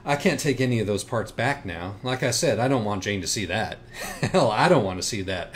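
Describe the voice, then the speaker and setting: A man's voice: lowish voice, expressive, normal speed, a little hiss or hum, lightly acted. A man playing a cerebral, self-critical young writer with a wry, hesitant manner in audiobook dialogue. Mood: troubled, self-critical.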